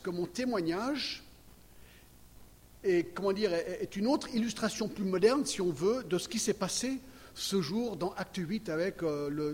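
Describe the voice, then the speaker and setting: A man in his sixties, American raised in Geneva, preaching a sermon and sharing his testimony, chatty and often checking in with the congregation. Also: high voice. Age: 60s. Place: church hall. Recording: long reverb hall, faint ambience.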